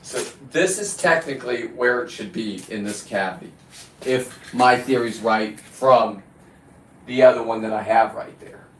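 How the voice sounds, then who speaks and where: A man chats away as a livestream host in a very echoey room, standing some way from the microphone. His pitch is mid-range for a male voice. He rambles casually, halting and restarting as he drifts between addressing a companion and his viewers. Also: slight background noise. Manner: halting, digressive, talks to an off-screen Bill and the viewers. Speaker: live stream host. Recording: echoey room, distant from the mic.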